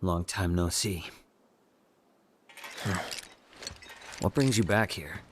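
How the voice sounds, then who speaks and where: Guy doing low voiced video game underworld guy, cool and guarded, short unbothered lines. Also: moderate pace, noisy background, lightly acted. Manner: terse, unruffled.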